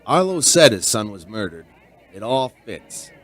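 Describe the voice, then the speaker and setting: A man's voice: fairly low pitch, sing-song, unhurried, fairly bright tone, a little hiss or hum. An actor playing an earnest, sentimental male bartender who tells a strange tale in a dramatic radio play style. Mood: intent. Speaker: bartender and storyteller. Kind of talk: radio drama acting and narration.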